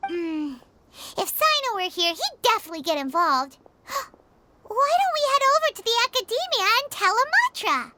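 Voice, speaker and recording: A woman's voice: very high-pitched, very animated, moderate pace, noisy background. A woman voicing a small, chirpy, inquisitive fairy companion in an anime video game. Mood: puzzled, eager. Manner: chirpy, exclamatory.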